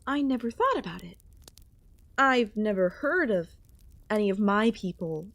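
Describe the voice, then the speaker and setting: A woman playing an ancient, possessive, lonely goddess queen in an ASMR audio roleplay, speaking in a hushed, intimate way with pauses. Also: mid-range voice, big pitch swings, creaky, no echo, heavily acted. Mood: musing, yearning.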